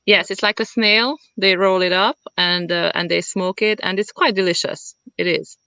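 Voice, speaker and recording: A woman from Hungary with a Hungarian accent, chatting as an interview guest in a halting way as she searches for words. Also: fairly low pitch, fairly even intonation, sharp tone, clean tone, no room sound. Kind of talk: casual conversational interview. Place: at home in the countryside.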